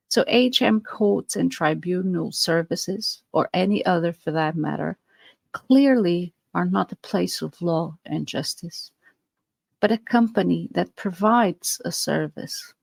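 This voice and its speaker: A woman's voice: fairly low pitch, animated, slow pace, creaky, husky, dry recording. A woman with a Portuguese accent, Portuguese her first language, hosting a podcast and explaining earnestly with some fillers and restarts. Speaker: podcast host. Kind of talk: podcast monologue.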